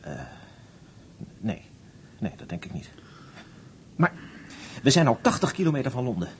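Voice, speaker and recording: A man's voice: medium pitch, very animated, moderate pace, a little hiss or hum, lightly acted. An actor playing a nervous, sarcastic male escaped prisoner who protests anxiously, in a radio play.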